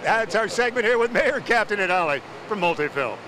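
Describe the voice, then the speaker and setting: A man's voice: sits high, expressive, bright, forceful tone, slight background noise. A man hosting a city TV show, interviewing guests on location in a chatty, joking way. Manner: chatty, jokey, quick interjections.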